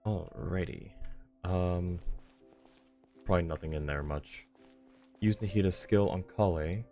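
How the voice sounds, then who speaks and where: A laid-back male game streamer with a very deep voice. He chats casually and reads dialogue aloud in a low-key manner, with faint game music behind him. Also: a little slow, warm tone.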